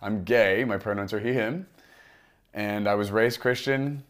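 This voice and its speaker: A man's voice: lowish voice, unhurried. A man in his thirties hosting a podcast, speaking casually as he introduces things. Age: 30s. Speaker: podcast host.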